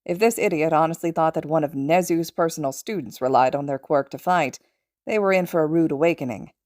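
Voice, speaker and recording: A woman with a lowish voice narrates scripted fiction. The recording is very dry and close, with an audiobook-style read. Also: brisk, darkish tone, no room sound. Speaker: podfic narrator.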